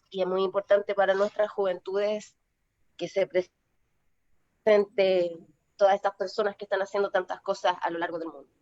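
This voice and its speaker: A woman's voice: medium pitch, a little mellow. A woman speaking earnestly on a panel, with hesitant fillers. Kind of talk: casual panel talk. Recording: dry dull lo-fi audio.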